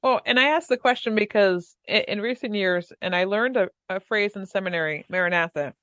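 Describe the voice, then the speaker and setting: A woman speaking earnestly in a casual faith discussion, halting and restarting her phrases. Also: mid-range voice, fairly bright tone, dry recording, highs cut off.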